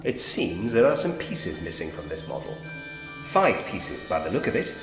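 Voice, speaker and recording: A man's voice: deep voice, huge pitch swings, moderate pace, vocal fry, exaggerated voice. A man voicing a polite, drily whimsical Victorian police inspector who muses to himself in an adventure game. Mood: methodical. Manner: musing to himself, genteel, drily humorous. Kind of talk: point-and-click adventure game voice acting. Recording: reverberant room with long echo. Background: game music.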